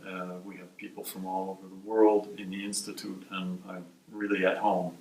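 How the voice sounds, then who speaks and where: Guy from wisconsin, research director, calm explaining tone, kinda far off in a roomy space. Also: deep voice, slight background noise. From Wisconsin, USA. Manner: measured, explanatory. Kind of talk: interview answers. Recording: roomy sound from a distant mic.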